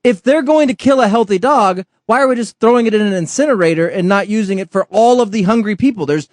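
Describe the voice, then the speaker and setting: A man's voice: sits high, quick pace, a little mellow, dry recording, studio quality. A man co-hosting a podcast in casual, comedic group banter. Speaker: podcast co-host.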